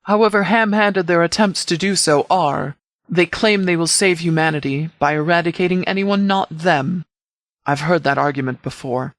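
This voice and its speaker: A man playing a stern, principled post-apocalyptic sheriff in a video game, speaking in a formal, measured, articulate way. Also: on the high side, a bit bright, no echo. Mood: stern, resolute. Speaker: voice actor in a game mod. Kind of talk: scripted video game character dialogue.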